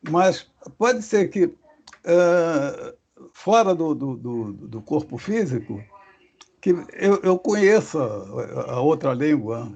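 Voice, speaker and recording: Gravelly guy talking slow and halting on a muffled video call, keeps restarting, voice a bit high for a man. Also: big pitch swings, darkish tone. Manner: halting, searching for words with many restarts. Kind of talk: casual religious study discussion. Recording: dull lo-fi call audio.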